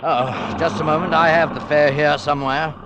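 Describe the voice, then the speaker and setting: An actor playing a shaken, courteous gentleman in a 1940s radio drama, hesitant and stammering. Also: fairly high pitch, steady tone, moderate pace, close to an everyday voice. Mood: shaken, grateful. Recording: noisy 1940s radio broadcast.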